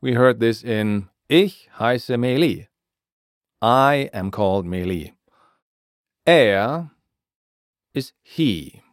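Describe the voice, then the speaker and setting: Male podcast teacher for scripted lessons: slow, deliberate delivery, lively rise and fall in a lowish voice. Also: dry recording, clean studio sound. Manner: clear, deliberate, repeats phrases for learners. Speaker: language teacher and podcast host.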